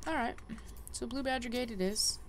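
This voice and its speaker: A woman's voice: a little high, normal speed, slight background noise. A woman giving casual, chatty game walkthrough commentary, thinking aloud as she plays. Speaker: gaming video host.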